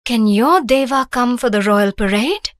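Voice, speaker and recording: A woman's voice: mid-range voice, sing-song, measured pace, no room sound, studio quality. A woman voicing a finely dressed young palace lady in a children's story, happy and reassuring. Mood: cheerful.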